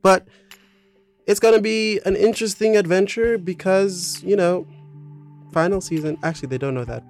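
Rambling, animated male podcast host. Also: high-pitched, moderate pace, soft background music. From Southern Africa. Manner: rambling, animated, trailing off into fillers. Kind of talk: casual podcast chat.

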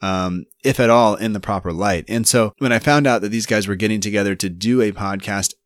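A man in his thirties hosting a podcast, talking in a relaxed, rambling way with lots of fillers. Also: lowish voice, fairly quick, dry recording. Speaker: podcast host. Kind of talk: casual podcast interview and intro.

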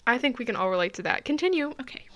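A woman's voice: a little high, expressive, rapid-fire, sharp tone, soft background music. A young woman hosting a podcast, talking casually, chatty and laughing easily, often restarting her phrases. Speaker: podcast host.

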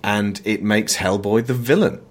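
A man hosting a conversational film discussion, emphatic and opinionated, sometimes halting as he searches for words. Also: on the low side. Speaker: podcast host.

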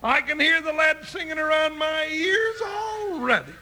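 An actor playing an excitable, folksy cowboy sidekick with an American accent in an old-time radio western, nervous and given to grumbling exclamations. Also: male voice, very high voice, a little slow, over loud music. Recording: noisy old radio recording.

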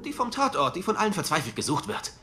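An eccentric, evasive male detective talks quickly and upbeat, with hugely animated pitch. It is TV drama dialogue over clear music. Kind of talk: dubbed screen drama dialogue.

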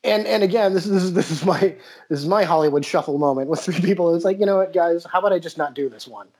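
A man's voice: a little high, fast, a little mellow, husky. A man from the United States, a podcast host and playwright, telling stories animatedly with many "you know" fillers. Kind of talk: casual interview talk.

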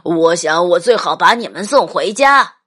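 Woman putting on a low, husky, firm fireman. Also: expressive, moderate pace, fairly bright tone. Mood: stern. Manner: curt, authoritative. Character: tall, firm, official grown-up fireman.

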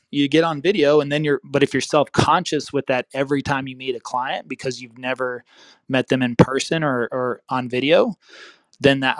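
Male podcast host, casual advice, rambling and self-correcting, mid pitch, dry close sound. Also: no room sound, poor audio. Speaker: real estate podcast host.

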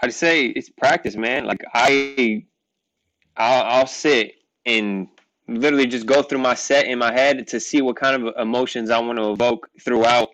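A man's voice: medium pitch, steady tone, normal speed, fairly bright tone, poor audio. A young man whose first language is Portuguese, a rapper talking casually as a guest, rambling and filling with "like" and "you know what I mean.". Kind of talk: casual interview chat.

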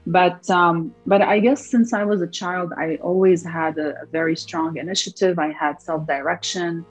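A woman's voice: on the low side, fairly even intonation, normal speed, faint music behind, rough recording. A young woman from Lebanon, an entrepreneur answering interview questions in an earnest, reflective way, often repeating words as she searches for them. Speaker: entrepreneur guest.

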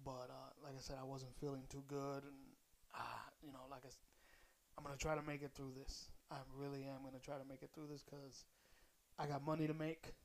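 A man hosting his own podcast in a casual, rambling way, a little hoarse as he comes down with a cold. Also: mid-range voice, a little mellow. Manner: rambling, loose, swears casually. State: slightly hoarse, coming down with a cold. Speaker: podcast host. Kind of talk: casual solo podcast chat.

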